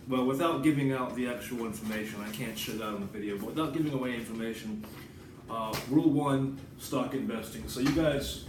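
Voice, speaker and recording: An American man giving a casual, rambling vlog monologue, informal and digressive, with some swearing. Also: mid-range voice. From United States. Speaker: writer and vlogger. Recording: roomy echo, distant mic, background noise.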